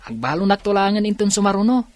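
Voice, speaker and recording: A woman's voice: mid-range voice, animated, fast, a little mellow, no room sound, lightly acted. A woman playing a polite school student in an audio drama. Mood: polite, explaining. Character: school student, polite child of a watchful mother.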